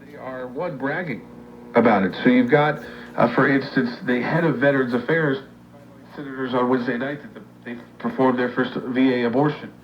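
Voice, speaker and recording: A man speaks on political talk radio with an emphatic, animated delivery. The sound is muffled like an old radio broadcast, with a faint hiss behind it. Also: mid-range voice, normal speed.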